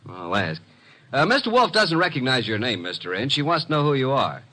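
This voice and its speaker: An actor playing a breezy, wry detective's assistant and narrator in slangy old radio drama style. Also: male voice, mid-range voice, big pitch swings, a little fast, sharp tone. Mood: brisk, wry. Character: brisk, wisecracking male detective's assistant and narrator. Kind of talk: 1940s-50s radio detective drama acting. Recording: noisy vintage radio recording.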